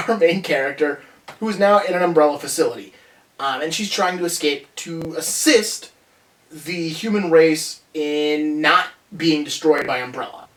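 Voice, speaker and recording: A man's voice: fairly high pitch, fairly bright tone. A man hosting a casual countdown review video, rambling and chatty as he corrects himself along the way. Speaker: host of a movie review video. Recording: roomy echo, distant from microphone.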